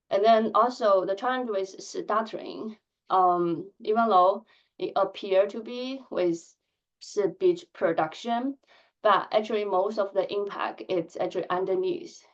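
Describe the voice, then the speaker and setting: A woman in her thirties who stutters, giving a candid conference talk with blocks and filled pauses. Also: medium pitch, muffled recording. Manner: soft-spoken, candid, measured. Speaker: conference speaker. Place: conference hall.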